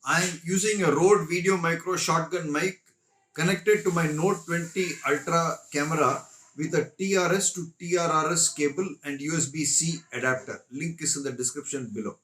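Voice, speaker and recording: A man's voice: a little high, moderate pace, fairly bright tone, poor audio. A man methodically explaining equipment as the presenter of a tech tutorial.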